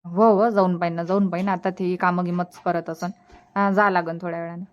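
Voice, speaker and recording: A woman's voice: fairly low pitch, level tone, a little fast, highs cut off, close to an everyday voice. A woman voicing a practical village mother in a cartoon comedy, giving advice matter-of-factly in a Varhadi Marathi accent. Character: village mother, practical and advising family elder. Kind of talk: colloquial cartoon comedy dialogue.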